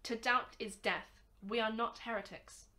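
A woman's voice: a little high, fairly even intonation, a little slow, bright, forceful tone. A woman acting an earnest, formal young male cadet scientist in an amateur radio drama. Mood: polite, earnest.

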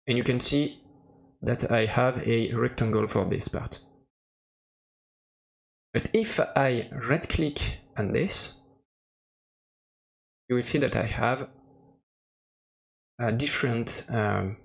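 Slow, gravelly man demonstrating software. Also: mid-range voice. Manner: hesitant, searching for words. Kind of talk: casual screen-recorded tutorial narration. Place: voice-over for a screen recording.

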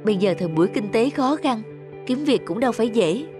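A woman playing a gentle, supportive young wife in a cartoon, speaking in a soothing, reassuring way. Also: on the high side, lively intonation, fairly quick, lightly acted. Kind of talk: cartoon dubbing. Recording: music behind the voice.

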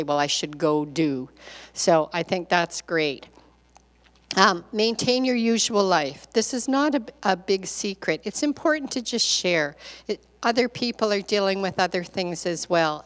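An older woman speaking as a care partner on a panel, talking earnestly and encouragingly into a handheld microphone in a large meeting hall. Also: medium pitch. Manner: earnest, encouraging, repeats key points. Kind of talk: panel talk, advice to audience. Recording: hall reverb.

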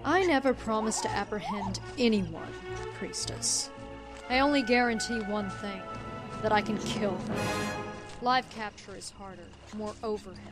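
A woman voicing a cold, sardonic bounty hunter in a comic dub, curt and deadpan. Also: medium pitch, measured pace, lightly acted. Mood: cold, businesslike. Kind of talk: comic dub, terse noir-like narration. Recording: loud music under the voice. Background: music.

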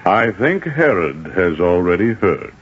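An actor playing a calm, sceptical Roman dignitary, measured and shrewd, in 1940s radio acting style. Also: male voice, on the low side, dull audio, heavy background noise. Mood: probing, knowing.

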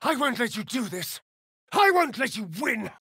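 An actor playing a sardonic, flirtatious vampire elf rogue in a video game, with an arch, droll, theatrical delivery. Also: male voice, extremely high pitch, very animated, normal speed, bright, forceful tone, husky, dry recording, studio quality, heavily acted. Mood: urgent, alarmed. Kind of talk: video game character voice lines.

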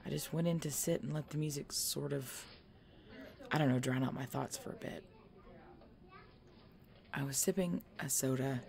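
A woman playing a tough, weary, injured biker girlfriend in an ASMR roleplay audio drama, speaking haltingly and protective of her boyfriend. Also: very deep voice, vocal fry, darkish tone. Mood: tense recounting.

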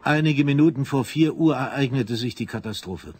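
A man's voice: mid-range voice, moderate pace. A man narrating a radio drama in a dramatic, scripted style. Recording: clear background machinery noise.

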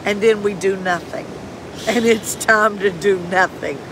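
A woman's voice: medium pitch, fairly even intonation, unhurried. A woman in her fifties with a Southern US accent, chatting casually and folksily as co-host of a livestream. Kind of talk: casual chat. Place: outdoors on a windy ocean beach. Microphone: partner's phone at arm's length. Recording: outdoor phone, surf, wind, background babble.

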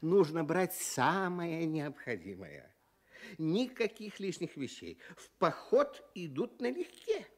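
An amused, chiding mentor for a children's radio comedy. His voice is husky and rather high for a man. It swings up and down as he instructs with theatrical repeats. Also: wildly swooping pitch, slow pace, exaggerated voice. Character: amused, chiding older male mentor in hiking gear. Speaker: radio show presenter.

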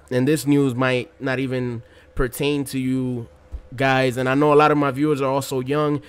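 A man's voice: mid-range voice, smooth. A man in his twenties giving casual gameplay commentary, talking to his viewers in a slangy, laid-back, rambling way. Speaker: gaming video commentator.

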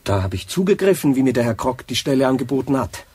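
An actor playing a timid, odd, downtrodden young man in a radio drama, speaking in a halting, plaintive way. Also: medium pitch, sing-song, a little mellow, slightly rough, no echo. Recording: noisy radio drama recording.

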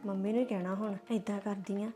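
An actress with a Punjabi accent playing a young sister-in-law stuck in a family quarrel. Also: female voice, medium pitch, little pitch movement, fast, mellow, close to an everyday voice. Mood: scheming. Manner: pleading, placating.

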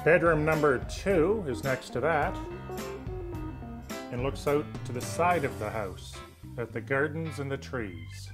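A man's voice: medium pitch, lively intonation, measured pace, music in the background. A middle-aged man presenting a property tour as a real estate agent, in an upbeat, scripted salesman's delivery.

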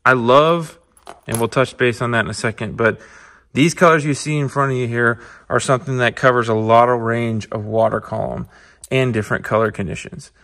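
A man's voice: mid-range voice, steady tone, a little fast, a bit bright. A man hosting a fishing show, giving a casual, upbeat tackle tutorial from his shop and talking straight to viewers. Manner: upbeat, conversational, addresses viewers as guys. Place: indoor shop, tabletop demo.

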